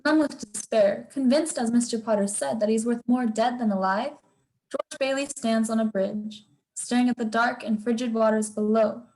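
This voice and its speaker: A woman's voice: a little high, normal speed, a little mellow, lightly acted, poor audio. A young woman playing a solemn narrator who sets the scenes in a radio drama. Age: teens or young adult.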